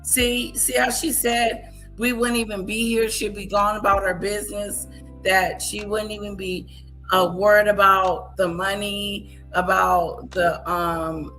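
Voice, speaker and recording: Sassy woman reacting with bright tone. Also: medium pitch. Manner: sassy, scolding, incredulous. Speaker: commentary channel host. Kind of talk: reaction commentary on a livestream clip.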